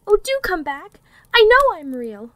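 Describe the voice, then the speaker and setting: A little velveteen toy rabbit from a storybook, voiced by a woman. He pipes up high and childlike, swinging widely in tone as he protests with wounded pride and wistful insecurity. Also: sing-song, measured pace, fairly bright tone, no echo, full character voice. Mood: pleading.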